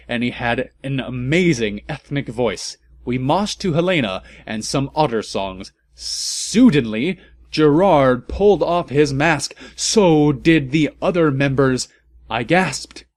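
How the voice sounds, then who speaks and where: A man's voice: mid-range voice, no room sound. A man giving a comedic, theatrical and mock-serious reading of amateur fan fiction as its narrator. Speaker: narrator and commentator.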